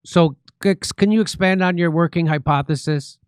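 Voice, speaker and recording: A man hosting a talk show, commenting and asking questions casually, often halting and repeating himself as he starts. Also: fairly high pitch, smooth, dry recording, clean studio sound.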